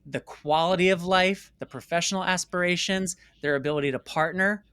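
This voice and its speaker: A man's voice: high-pitched, fairly quick, sharp tone, clean tone, no echo. An American man speaking earnestly and emphatically as an interview guest, telling reflective personal stories and repeating words for stress. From United States. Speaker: interview guest, documentary filmmaker. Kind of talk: reflective personal storytelling in conversation.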